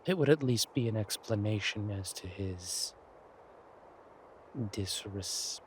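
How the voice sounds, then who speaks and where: A man acts out a smug villain king in a romantic audio drama, menacing yet doting. His voice is on the low side and very unhurried, with theatrical, deliberate phrasing. Some ambient noise sits behind him. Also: expressive. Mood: cold menace. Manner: slow, deliberate, theatrical, breathy asides. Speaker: voice actor in romantic audio roleplay. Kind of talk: intimate scripted villain roleplay monologue.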